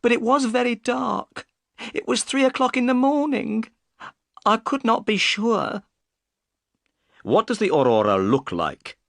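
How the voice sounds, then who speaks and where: A man voicing an upset, tearful working woman in high falsetto for a storybook reading. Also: lively intonation, a little slow, vocal fry, pauses often, fairly bright tone, no room sound, clean studio sound, full character voice. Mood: anxious. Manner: high, strained falsetto, sobbing at times.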